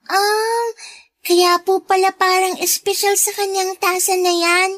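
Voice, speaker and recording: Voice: very high-pitched, little pitch movement, a little slow, no echo, studio quality. A performer voicing a sweet, timid and polite young girl in a radio drama. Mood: eager, polite. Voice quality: bright, childlike. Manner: polite, deferential.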